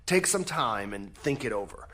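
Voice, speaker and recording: A man playing a smooth-talking crown prosecutor in an audio drama, wry, insinuating and theatrical. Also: medium pitch, expressive, normal speed, sharp tone. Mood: insinuating, cool.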